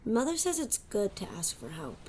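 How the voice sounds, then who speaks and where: A woman's voice: medium pitch, wildly swooping pitch, moderate pace, warm tone, slight background noise. A woman voicing a sulky, stubborn little boy in an audio drama.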